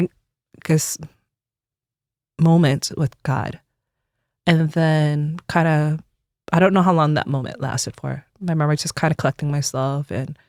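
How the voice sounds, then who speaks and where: A woman's voice: low voice, a little mellow, no room sound, studio quality. A woman in her forties from the United States, a religious sister telling her personal story in an interview, earnest and reflective.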